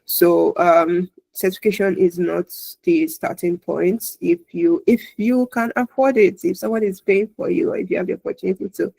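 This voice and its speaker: A woman's voice: on the low side, steady tone, normal speed, creaky, hardly any pauses, mellow, no room sound, low-quality recording. A woman hosting an interview podcast, agreeable and encouraging, often nodding along with short replies. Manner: agreeable, encouraging, with frequent backchannels. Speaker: podcast host. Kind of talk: conversational interview.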